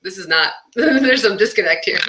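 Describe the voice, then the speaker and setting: A woman's voice: mid-range voice, lively intonation, moderate pace, bright, forceful tone, a little hiss or hum, rough recording. A young woman musician, born in Albania and raised near Boston, chatting casually in an interview with lots of "like" and frequent laughter. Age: young adult. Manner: chatty, fillers of "like", laughs often. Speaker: musician guest.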